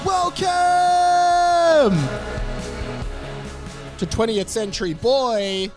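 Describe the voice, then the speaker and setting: Chatty man with a higher voice. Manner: rambling, self-interrupting, candid. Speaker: podcast host. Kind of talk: casual solo podcast chat.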